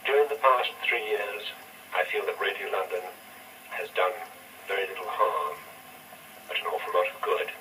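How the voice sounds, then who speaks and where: A man giving a formal, measured farewell speech on the radio as a station director, in a distant old archive recording. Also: on the low side, sharp tone, slight background noise.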